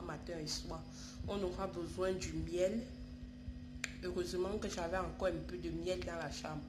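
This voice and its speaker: A woman's voice: fairly low pitch, echoey room, noisy background. A woman with a stuffy voice from a cold, hosting a home remedy tutorial and calling her viewers darlings, often restarting her phrases. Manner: affectionate, calls viewers darlings, repeats and restarts. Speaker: YouTube channel host. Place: home, indoors.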